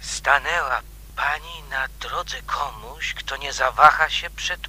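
An actor playing a watchful, formal man giving urgent warnings in a radio drama, with a husky, sometimes hushed voice. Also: medium pitch, slow pace, heavily acted, rough recording. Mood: grave warning. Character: watchful man warning and protecting an amateur sleuth.

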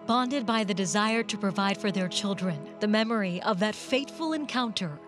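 A woman reporter narrating a scripted local television news story in a measured, polished way. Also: mid-range voice, over music.

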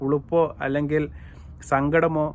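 A man's voice: a little high, level tone, moderate pace. A man with a Kerala Malayali accent giving opinionated commentary, emphatic and repetitive as he addresses viewers directly. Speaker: YouTube commentator.

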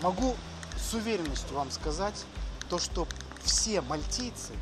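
A man's voice: high voice, animated, measured pace. A middle-aged man talking casually as the host of a walking vlog, recorded outdoors on city streets. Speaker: education agency host. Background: music, street ambience.

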